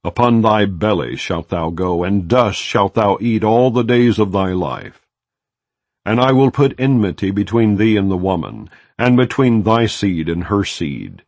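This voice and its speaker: A man with a fairly low voice reads scripture aloud with solemn, measured phrasing. His pitch rises and falls freely through each verse. The sound is dry and close, with no room around it. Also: expressive, darkish tone, no echo. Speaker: Bible reader.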